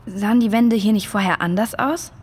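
A female performer playing a caring, practical girl in a children's audio drama, slightly husky, in cave-like reverb. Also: mid-range voice, a little fast, darkish tone, lightly acted. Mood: puzzled, alert. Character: caring, practical girl comforting her friend.

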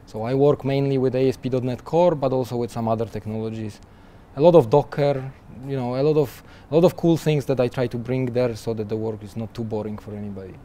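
Young male developer guest, warm mellow voice, easygoing interview talk that trips over itself. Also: mid-range voice, steady tone, fast talker, hardly any pauses. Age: young adult. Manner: chatty, restarts often with repeated words, laughs lightly. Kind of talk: conversational interview answers. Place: conference interview booth, seated in armchair.

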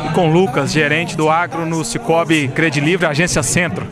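A man's voice: a little high, fairly even intonation, normal speed, over a noisy crowd. A man who manages a bank branch, speaking in a corporate, promotional way. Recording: music in the background.

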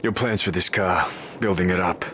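An actor playing a grim, terse lone wasteland warrior in a video game, speaking in curt, unsentimental phrases. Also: male voice, low-pitched, moderate pace, background noise, natural voice. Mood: grim, businesslike. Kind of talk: understated video game character acting.

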